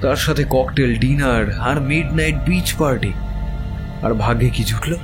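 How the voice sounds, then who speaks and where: Man acting a brooding young horror-tale narrator over loud music. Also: medium pitch, moderate pace, lightly acted. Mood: frustrated, indignant. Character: young male traveler, haunted, infatuated, uneasy first-person narrator. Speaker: story narrator and character. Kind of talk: dramatic horror audio story narration in Bengali. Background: prominent music bed.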